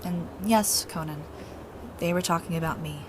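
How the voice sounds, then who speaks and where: A woman's voice: fairly low pitch, normal speed, background noise, close to an everyday voice. An actress playing a shaken, polite refugee woman with a hesitant manner in an audio drama. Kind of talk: naturalistic audio drama acting.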